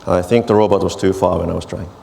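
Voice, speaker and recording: A man on a conference stage giving a live tech demo, talking through each step in a relaxed way as he works. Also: deep voice, quick pace, gravelly. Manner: relaxed, narrating steps while typing. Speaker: conference speaker. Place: large conference hall stage. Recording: reverberant hall, faint noise.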